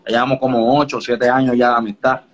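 A man talks easily as a guest in a casual interview, often checking that the listener follows along. His voice sits at an ordinary pitch for a man. The recording sounds dull and lo-fi. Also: level tone, fast, background noise. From Dominican Republic. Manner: laid-back, keeps asking "you get me?". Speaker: music producer guest.

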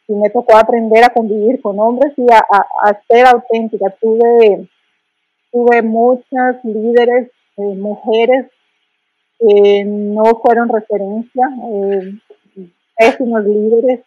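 A woman's voice: on the high side, fairly even intonation, slow pace, husky, no room sound, narrow, tinny audio. A middle-aged woman from Colombia with a Colombian accent, telling her story as a podcast guest, thoughtful with many restarts and fillers.

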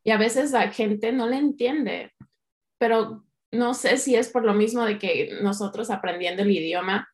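A woman talks things through in a relaxed chat. She explains at length and restarts her sentences as she thinks. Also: on the high side, a little slow. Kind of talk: casual chat.

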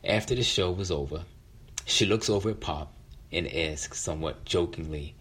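Low-voiced middle-aged man telling a story. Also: normal speed, a bit bright, slight background noise. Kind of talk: casual personal storytelling.

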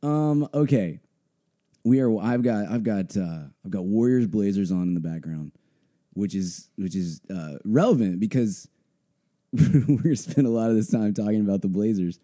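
A young man hosting a casual sports podcast, hoarse from a blown-out voice, rambling with many fillers. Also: fairly low pitch, animated, moderate pace, warm tone. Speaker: podcast host.

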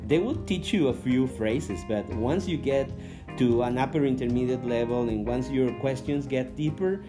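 A man whose first language is Spanish, an English teacher and coordinator, talking to camera, thoughtful and full of "uh" pauses. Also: medium pitch. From Mexico. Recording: guitar music playing under the voice. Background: guitar music.

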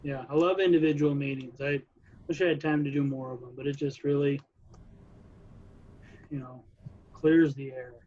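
A man's voice: fairly high pitch, fairly even intonation, normal speed, pauses often, darkish tone, highs cut off, a little hiss or hum. A man, a coach, talking casually and reflectively, with many "you know"s. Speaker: wrestling coach. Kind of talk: casual conversation.

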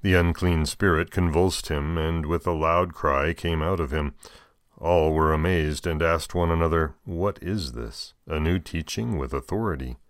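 American male, deep register, dry recording. Deacon hosting scripture readings with informal, deliberately phrased commentary. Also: dry recording. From United States.

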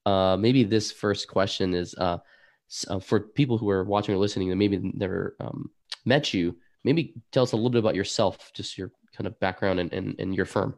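A middle-aged man hosting a podcast live stream, talking in a halting way with many fillers. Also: fairly low pitch, fairly even intonation, creaky, darkish tone, no echo, clean studio sound. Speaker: podcast host. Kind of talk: casual live-stream chat.

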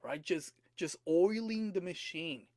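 Slightly hoarse male podcast host, high-ish voice, dry close sound, earnest and questioning. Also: measured pace, a little mellow, no room sound. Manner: earnest, repeats rhetorical questions to the listener. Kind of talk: motivational podcast monologue.

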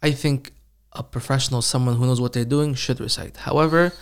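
Flat-voiced man reflecting calmly. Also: mid-range voice, brisk, vocal fry, clean tone. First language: not Arabic. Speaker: Quran reciter, guest. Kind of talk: casual interview.

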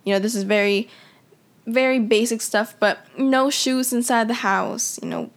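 A woman's voice: fairly high pitch, fairly even intonation, moderate pace. A young woman who grew up in the Philippines, reflecting in a casual, conversational way with frequent fillers as she tells her own story. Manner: reflective, conversational, many "you know" fillers. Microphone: audio only, unseen.

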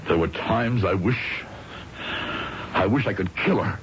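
An actor playing an aggrieved, easily rattled husband in an old-time radio drama, hesitant and emphatic. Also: male voice, on the low side, a little slow, music in the background, natural voice. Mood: bitter. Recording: muffled, noisy old radio recording.

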